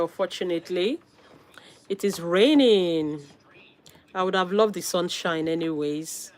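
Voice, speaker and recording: A woman hosting a casual live stream, slightly hoarse from a cold, upbeat and calling out viewers' names with frequent laughs. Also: medium pitch.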